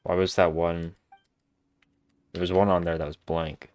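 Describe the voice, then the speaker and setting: Low voiced dude reacting to a game, casual, dry little muttered comments. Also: male voice, flat delivery, a little slow, a little mellow, no room sound. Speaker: gamer commenting on a playthrough.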